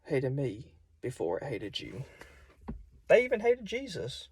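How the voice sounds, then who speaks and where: A man's voice: mid-range voice, huge pitch swings, measured pace, darkish tone, husky. A young man with a Southern US accent, preaching in an informal, folksy and conversational way. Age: young adult. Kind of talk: informal sermon. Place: solo self-recording.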